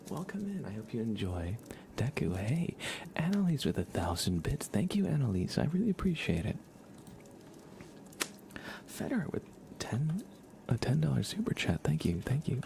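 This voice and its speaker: A man chatting casually and softly on an ASMR livestream, sometimes dropping toward a whisper, in an unhurried, musing way. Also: medium pitch, big pitch swings, vocal fry, background noise. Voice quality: soft, partly whispered. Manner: unhurried, musing aloud, thanking viewers. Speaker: livestream host, musician. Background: acoustic or plucked guitar playing.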